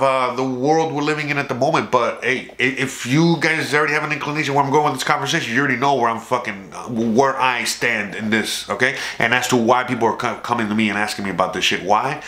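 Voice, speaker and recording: Rambling Cuban American man, bright voice. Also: medium pitch, roomy sound. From South Florida, US. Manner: rambling, swearing, frequent "okay?" asides. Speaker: YouTube channel host. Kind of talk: casual profane monologue to camera.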